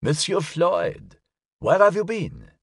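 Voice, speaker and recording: A man voicing an elderly, courteous Frenchman who speaks in a formal, precise, measured way in an audiobook. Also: sits high, wildly swooping pitch, creaky, breathy edge, no room sound, studio quality, pitched above the performer's own voice, exaggerated voice. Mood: curious. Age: older. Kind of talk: audiobook character acting.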